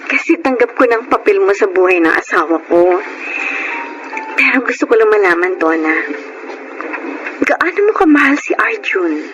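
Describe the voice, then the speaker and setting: A woman playing a gentle, grateful young mother in a Filipino radio drama, speaking earnestly with a Filipino accent. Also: mid-range voice, big pitch swings, normal speed, pauses often, muffled recording, background noise, natural voice. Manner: earnest, heartfelt. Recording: music bed under the voice.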